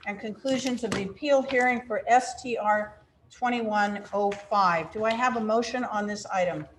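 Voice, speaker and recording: A woman serving as mayor, chairing a village council meeting and calling the roll in a brisk, procedural way. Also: mid-range voice. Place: council chamber. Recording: echoey council chamber with faint noise.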